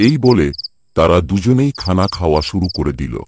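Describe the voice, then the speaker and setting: A man's voice: sits low, animated, normal speed, noisy background. A man narrating a scripted moral cartoon story.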